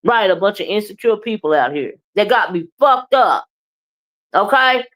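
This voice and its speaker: Woman, loud and boisterous, blunt and profane, moody livestream ranter. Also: mid-range voice, animated, moderate pace. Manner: blunt, profane, keeps asking "okay?" and "right?"; moody, confrontational. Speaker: live streamer and commentator. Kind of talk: ranting live commentary.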